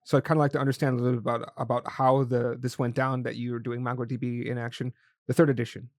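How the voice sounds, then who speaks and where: A man's voice: medium pitch, level tone, fast, no room sound, clean studio sound. A man hosting an interview podcast, chatting loosely and thinking aloud as he sets up questions. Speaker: podcast host. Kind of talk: casual interview chat.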